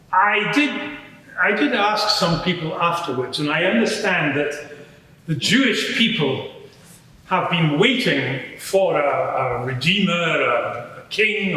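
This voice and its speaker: A man playing a matter-of-fact Roman centurion giving hesitant, measured testimony as a witness at an inquiry. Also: on the high side, pushed and bright, full character voice. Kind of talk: semi-improvised courtroom testimony. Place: large reverberant courtroom. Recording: very echoey hall, distant mic.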